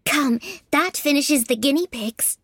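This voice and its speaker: A man's voice: very high-pitched, unhurried, sharp tone, no echo, clean studio sound, pitched above the performer's own voice, full character voice. A man voicing a curious, thoughtful young girl from a storybook, musing to herself.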